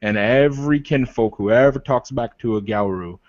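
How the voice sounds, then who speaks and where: A man's voice: mid-range voice, moderate pace, dry recording, close to an everyday voice. A man playing a proud, protective werewolf leader in improvised roleplay, arguing insistently with repeated phrases and pointed questions. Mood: incredulous. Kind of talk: improvised in-character roleplay dialogue. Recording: dull, lo-fi voice recording.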